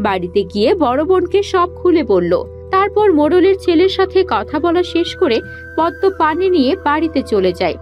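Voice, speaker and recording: A woman's voice: very high voice, big pitch swings, brisk, highs cut off, faint music behind. A woman narrating a scripted fairy tale for a cartoon.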